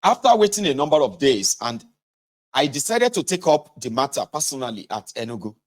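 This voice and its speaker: A man reading a memoir aloud in a measured, deliberate way, pausing between short phrases. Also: medium pitch, very animated. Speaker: reader of a memoir. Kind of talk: audiobook-style reading aloud of a war memoir.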